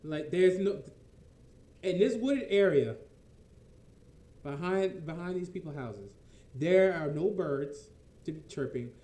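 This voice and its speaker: A man's voice: fairly high pitch, lively intonation, slow, long pauses, warm tone, reverberant. A man giving casual reaction commentary, stammering and repeating his words for emphasis. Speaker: reaction video host.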